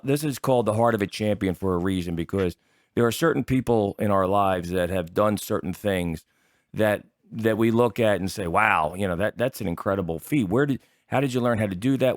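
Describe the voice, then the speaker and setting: An American man with a fairly low voice, recorded dry and close. He hosts a casual interview and wanders his way through wordy questions. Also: no room sound. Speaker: podcast host, interviewer.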